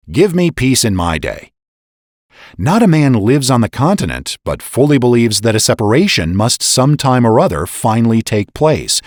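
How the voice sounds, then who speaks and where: Low-voiced man in a sweeping dramatic reading. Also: dry recording, studio quality. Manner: emphatic, rhetorical, with swelling and falling emphasis. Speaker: narrator reading a historical pamphlet. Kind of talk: dramatic reading, formal oratory.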